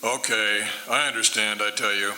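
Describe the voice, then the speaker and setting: A man's voice: lowish voice, moderate pace, bright, forceful tone, rough recording. A man playing a cold, businesslike hired killer in an old-time radio drama, talking in terse, matter-of-fact reassurances.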